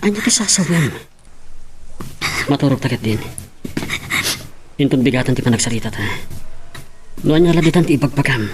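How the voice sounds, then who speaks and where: A man's voice: mid-range voice, animated, normal speed, pauses often, background noise, close to an everyday voice. A man with an Ilocano Filipino accent playing a weary, evasive young husband in an audio drama. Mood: weary, dismissive.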